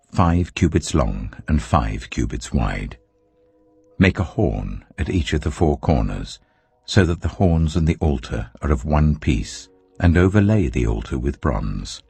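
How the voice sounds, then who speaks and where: A man reading scripture aloud in a measured, deliberate way. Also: extremely low pitch, gravelly, slightly rough, no room sound. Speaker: Bible reader. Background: soft piano music.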